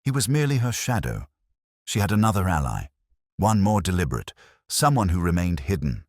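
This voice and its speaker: Guy doing a very deep victorian detective, stern n logical, formal, voice moves around a lot, dry close sound. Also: sing-song, a little mellow, no room sound. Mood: quietly intent. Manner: measured, formal, certain.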